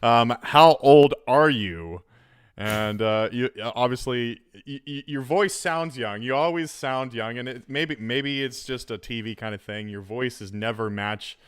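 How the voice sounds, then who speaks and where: A man's voice: medium pitch, unhurried, clean tone, dry recording, studio quality. A man in his thirties hosting a podcast over a video call, rambling and restarting his questions. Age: 30s. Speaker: podcast host. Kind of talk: casual interview chat.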